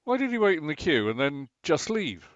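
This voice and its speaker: A man's voice: fairly high pitch, sing-song, brisk, no echo, dull audio. A man acting a long-suffering, dryly sarcastic husband in a domestic radio comedy. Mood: exasperated. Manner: dry, exasperated sarcasm.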